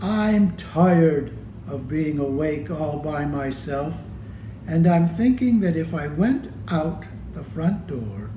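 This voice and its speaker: Man, children's storybook read-aloud, unhurried pace, soft mellow tone, deliberate pauses. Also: on the high side, a little hiss or hum. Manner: deliberate, pausing between phrases to show pictures. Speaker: storybook reader. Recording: roomy, echoey room sound.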